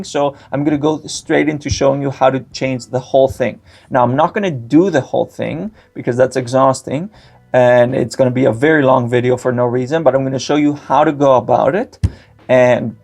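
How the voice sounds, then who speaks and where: A young man, Greek his first language, explains a software tutorial at a desk mic in a gravelly, middle-pitched voice. His delivery is step-by-step and dotted with "uh" fillers. Faint background music sits under the voice-over. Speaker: tutorial host. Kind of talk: screen-recorded tech tutorial.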